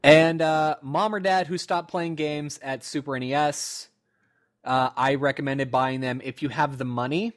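A man's voice: a little high, steady tone, a little slow. A young man in his twenties co-hosting a casual gaming podcast, rambling through stories with lots of fillers and laughter. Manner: rambling storyteller, laughs often, many fillers. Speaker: podcast co-host.

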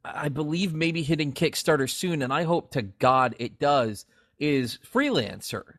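A man's voice: a little high, expressive, a little slow, a bit bright, dry recording, studio quality. A man co-hosting a casual podcast discussion about games, speaking in an enthusiastic, emphatic way. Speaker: podcast co-host.

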